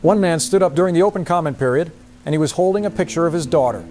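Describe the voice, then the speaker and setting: Man acting as earnest higher-voiced field reporter. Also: quick pace, darkish tone, soft background music, close to an everyday voice. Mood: earnest, grave. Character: earnest male field reporter, shaken by events. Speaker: news reporter. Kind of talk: live field news report, audio drama.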